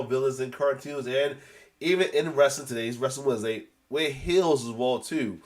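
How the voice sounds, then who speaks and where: A man with a cold hosts a casual news reaction, his voice stuffed up and a little lower than most. He rambles, restarts sentences and coughs now and then. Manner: rambling, repeats "as well too", many restarts; occasional coughs. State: congested with a cold. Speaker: host.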